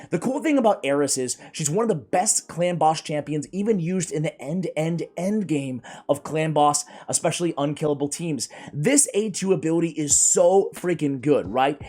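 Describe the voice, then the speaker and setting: A man reviewing video game characters in a casual, energetic way, his voice strained and worn from a cold. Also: fairly high pitch, normal speed, hardly any pauses. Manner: energetic, chatty, keeps addressing viewers as guys. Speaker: gaming YouTuber.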